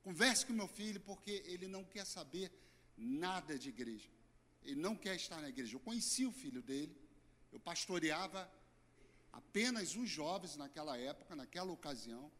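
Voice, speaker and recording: A hoarse middle-aged man with a Brazilian Portuguese accent, preaching an earnest, emphatic sermon as a pastor. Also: a little high, lively intonation, normal speed. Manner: earnest, emphatic preaching with sudden loud and soft swings. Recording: church hall reverb.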